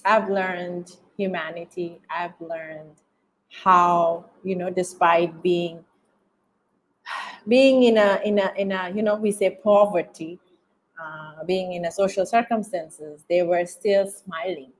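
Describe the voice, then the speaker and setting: A woman from Bangladesh with a Bangladeshi accent, giving reflective interview answers as a guest, halting and often repeating phrases as she searches for words. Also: fairly low pitch, fairly even intonation, low-quality recording. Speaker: interview guest, documentary filmmaker.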